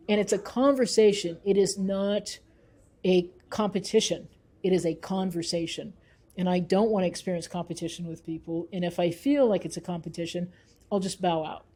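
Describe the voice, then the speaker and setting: Mellow-voiced woman, emphatic in conversation. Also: mid-range voice. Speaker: interviewee, researcher and writer. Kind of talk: conversational interview.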